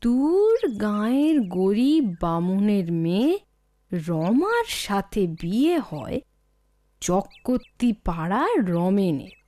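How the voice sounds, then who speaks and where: A woman's voice: medium pitch, sing-song, slow, dark tone, no room sound. A woman with a Bengali accent narrating a scripted children's story.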